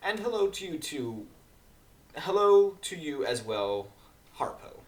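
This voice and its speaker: Man playing a refined, polite pony mare, expressive pitch. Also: slow pace. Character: refined, polite mare musician, reserved and kindly. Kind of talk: amateur dramatic fan fiction reading.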